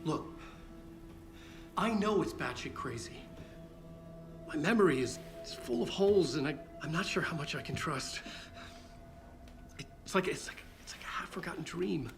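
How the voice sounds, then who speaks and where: A haunted, frantic novelist who has escaped a nightmare, in cinematic video game style. His voice sits a little high for a man, with halting, intense phrasing. Music plays clearly underneath. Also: animated. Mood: shaken, uncertain.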